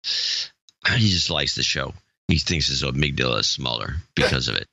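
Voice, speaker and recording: A man's voice: very deep voice, expressive, vocal fry, bright, forceful tone, breathy edge, dry recording, muffled recording. A man co-hosting a podcast, reading a long list of donor names and joking in between, stumbling over how to say them. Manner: reads names haltingly, stumbles over pronunciations and jokes in between. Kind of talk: casual chat.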